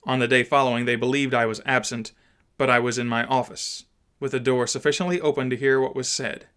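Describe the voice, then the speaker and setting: An indignant gentleman and father, acted by a male reader in an audiobook. He has a mid-range voice and speaks with solemn, oratorical emphasis, stern throughout. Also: medium pitch, steady tone, fairly quick, clean tone, natural voice. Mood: grave, indignant. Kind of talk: dramatised audiobook reading.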